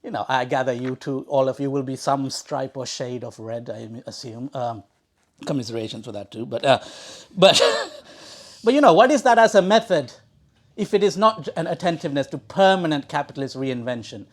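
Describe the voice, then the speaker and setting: A man from Sri Lanka hosting a book talk, speaking in an erudite, wordy way with halting restarts and repeated words. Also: mid-range voice, lively intonation. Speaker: event host introducing an author. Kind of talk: academic introductory remarks at a live book talk. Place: talk in a bookshop before an audience.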